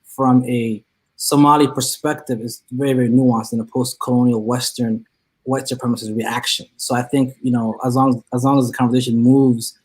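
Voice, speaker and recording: A man's voice: medium pitch, darkish tone, husky, poor audio. A man speaking as a college counseling professor on a panel discussion, earnest and academic, with frequent restarts.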